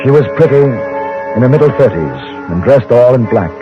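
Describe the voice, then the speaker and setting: An actor in an old-time radio drama playing a courteous frontier newspaper correspondent, narrating and talking in a measured, literary, polite way. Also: male voice, medium pitch, big pitch swings, natural voice. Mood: reflective. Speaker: narrator and lead character. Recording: muffled old radio recording with loud music.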